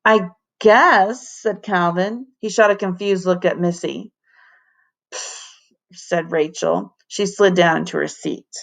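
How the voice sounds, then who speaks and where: A woman narrating a children's story as a teacher reading aloud, animated and dramatic. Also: fairly low pitch, normal speed, gravelly, hardly any pauses.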